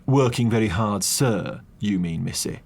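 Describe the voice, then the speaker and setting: A man voicing a prim, exasperated butler who corrects etiquette stiffly and deliberately. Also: fairly low pitch, gravelly, a little mellow, breathy edge, heavily acted.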